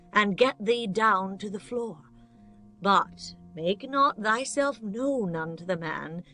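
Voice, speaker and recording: A devout older mother-in-law in a Bible drama, played by a woman. She speaks slowly at a middle pitch, giving gentle, caring counsel. Faint music plays beneath her words. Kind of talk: dramatized scripture audiobook acting.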